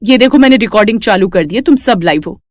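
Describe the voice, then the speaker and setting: A woman voicing a bold girl who speaks loudly and defiantly in a cartoon story. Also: medium pitch, lively intonation, dry recording, studio quality, close to an everyday voice. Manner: loud, rapid, threatening.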